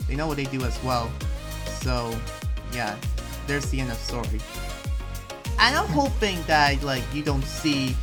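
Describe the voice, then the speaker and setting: A man playing an earnest, preachy but friendly town leader in an anime roleplay, speaking in a halting, informal way. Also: medium pitch, close to an everyday voice. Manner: halting, rambling, informal advice-giving. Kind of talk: improvised Minecraft anime roleplay. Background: music under the voice.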